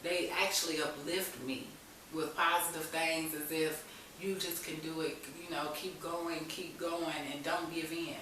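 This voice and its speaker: Low-pitched woman in a very echoey room. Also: fairly even intonation, unhurried, sharp tone. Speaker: talk show guest in recovery. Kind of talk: personal testimony in a conversational interview. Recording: very echoey room, distant mic, hiss.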